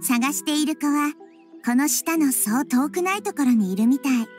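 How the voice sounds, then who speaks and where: A woman playing a gentle, composed young girl goddess in a Japanese anime game, calm and softly articulate. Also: high voice, normal speed, faint music behind. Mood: calm, instructive.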